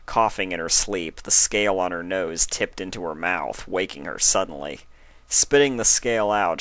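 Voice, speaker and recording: Male story reader: lowish pitch, scripted narration, close dry sound. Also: a bit bright, no room sound.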